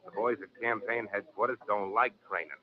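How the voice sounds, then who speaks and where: A man's voice: on the low side, a little fast, natural voice. Smug, jovial campaign fixer, male actor, slick chummy patter, muffled vintage radio drama sound. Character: glib, back-slapping male political campaign fixer, smug. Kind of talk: old-time radio drama acting.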